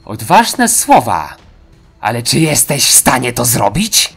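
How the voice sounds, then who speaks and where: A man's voice: mid-range voice, sing-song, slow, gravelly, fairly bright tone, husky, soft background music, exaggerated voice. A man voicing a cocky, sadistic teenage boy killer in an audio horror story. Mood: mocking. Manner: taunting, cocky.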